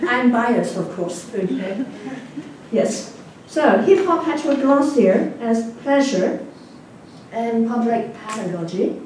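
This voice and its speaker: A woman's voice: fairly high pitch, a little mellow. A middle-aged woman whose first language is Cantonese, giving a chatty academic lecture and often checking in with her audience. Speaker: guest lecturer. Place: large lecture hall. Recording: very echoey hall from far off, faint noise.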